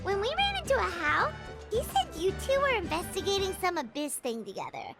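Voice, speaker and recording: A woman voicing a tiny, childlike fairy companion in a video game, squeaky, chirpy and expressive, with an outspoken, excitable temper. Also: a little fast, full character voice. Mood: indignant, curious. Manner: chirpy, expressive, speaks of herself in third person. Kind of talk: English video game voice acting. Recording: loud game music behind the voice.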